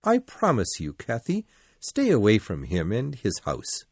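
A man voicing a grave, protective middle-aged father in storybook dialogue, quiet, earnest and warning. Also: on the low side, huge pitch swings, a little slow, breathy edge, no echo, full character voice.

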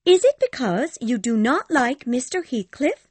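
A man voicing an eager, innocent, headstrong teenage girl in storybook dialogue, bright and insistent. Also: very high-pitched, very animated, a little slow, husky, no echo, voice pushed up, heavily acted. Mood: protesting.

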